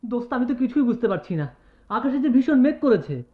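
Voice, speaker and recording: A man's voice: very high-pitched, sing-song, fast talker. An actor in a radio drama playing a timid, grumbling young male sidekick with a whiny, nervous manner. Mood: nervous, baffled. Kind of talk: Bengali radio drama acting. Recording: tinny phone-like radio-play recording.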